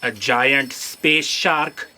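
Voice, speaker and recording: A woman's voice: rumbling low, extremely slow, bright, forceful tone, heavily acted. A woman playing an exasperated, incredulous editor-in-chief in a comic sci-fi audio drama. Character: exasperated female editor-in-chief of a cosmic book. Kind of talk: audio sci-fi comedy acting.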